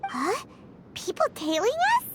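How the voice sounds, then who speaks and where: A woman's voice: very high-pitched, sing-song, a little slow, background noise, heavily acted. A woman voicing a small, perky, childlike fairy companion in a video game, bubbly and eager. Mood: startled. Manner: bubbly, exclamatory.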